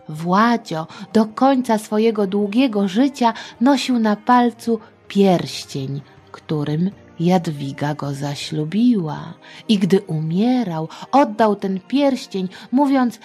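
Unhurried woman telling history to children. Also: mid-range voice, breathy edge. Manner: chatty, playful, expressive, with rhetorical asides. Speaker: podcast host and storyteller. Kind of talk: informal history storytelling for children.

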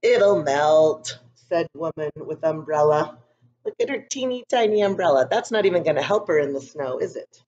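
Woman reading to kids, dull lo-fi recording. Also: deep voice. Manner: expressive, deliberate reading to young children. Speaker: school read-aloud host. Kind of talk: children's picture book read-aloud with chatty asides.

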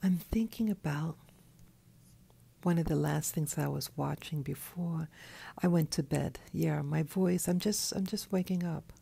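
Hoarse, soft-spoken just-woken woman. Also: low voice. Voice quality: soft, often whispery. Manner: slow, halting with restarts. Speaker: channel host. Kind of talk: early morning monologue. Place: in bed at night. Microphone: clip-on mic.

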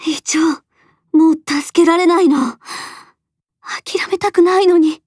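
Resolute protective young heroine, high voice, earnest and firm, dry studio voice drama. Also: female voice, steady tone, unhurried, darkish tone, no room sound. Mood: anguished. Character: resolute, self-sacrificing young woman, protective friend. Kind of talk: Japanese voice drama acting.

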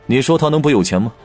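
A man's voice: mid-range voice, darkish tone, voice pushed up, lightly acted. A man voicing a gossipy, knowing young prince in an audiobook, chatty and emphatic. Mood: amused, impressed. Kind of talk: audiobook character dialogue.

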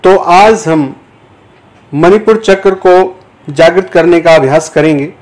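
A man giving guided yoga nidra instruction as a teacher, in measured, deliberate short phrases with pauses between them. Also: a little high, darkish tone, rough recording. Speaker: yoga teacher guiding a meditation.

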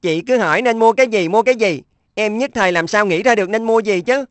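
A woman's voice: medium pitch, fast, no room sound, natural voice. A woman voicing an earnest, slightly plaintive schoolboy in a dubbed children's cartoon. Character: earnest, thoughtful schoolboy, middle sibling.

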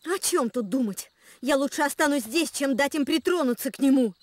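An actress voicing a fierce, distrustful, stubborn wife in an adventure cartoon, with a sharp, accusing manner. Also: female voice, sits high, expressive, brisk, no echo. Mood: defiant. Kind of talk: animated adventure cartoon dub.

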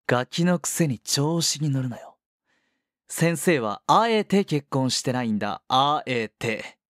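A man's voice: medium pitch, expressive, a little slow, fairly bright tone, dry recording, studio quality. An actor playing a smug, condescending, possessive male schoolteacher in a romantic audio drama. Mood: mocking. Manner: condescending, teasing.

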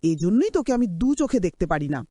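A woman's voice: a little high, big pitch swings, fast, mellow, no echo. A woman playing a cruel aunt in a cartoon drama, scolding and sarcastic in a harsh, nagging manner. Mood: bitter, dismissive. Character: cruel, scolding aunt bullying her orphaned niece.

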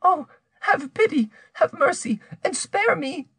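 A man's voice: very high voice, a little slow, darkish tone, no echo, clean studio sound, voice pushed up. A man voicing a terrified, guilty young wife who pleads desperately in an audiobook story. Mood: terrified pleading. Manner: desperate, imploring.

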